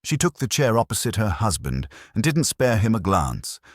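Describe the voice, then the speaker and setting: A man narrating a first-person detective story in a measured, dramatic storytelling delivery. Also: lowish voice, very animated, dry recording, studio quality. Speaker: audiobook narrator.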